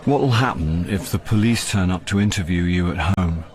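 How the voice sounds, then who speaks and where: Man playing a low-voiced, wry middle-aged British sleuth. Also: moderate pace, a crowd in the background, lightly acted. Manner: wry, hesitant, bumbling. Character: rumpled middle-aged male actor and amateur sleuth, wry, self-deprecating. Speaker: lead actor and narrator. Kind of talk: BBC radio drama acting and first-person narration.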